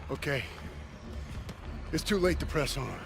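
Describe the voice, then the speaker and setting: Gruff army sergeant, sarcastic, commanding, highly animated pitch, war game cutscene, score behind. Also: male voice, measured pace, music in the background. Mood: stern, sardonic. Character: hard-bitten, sarcastic American army sergeant in World War II.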